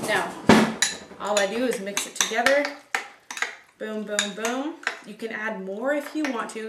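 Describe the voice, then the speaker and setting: A woman's voice: medium pitch, heavy background noise. A young woman hosting a casual home cooking demonstration, chatting in an upbeat way while she works with her hands in her kitchen. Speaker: cooking show host. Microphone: camera mic from a few meters away. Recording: roomy kitchen sound from a distant camera mic. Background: clinking dishes and pans.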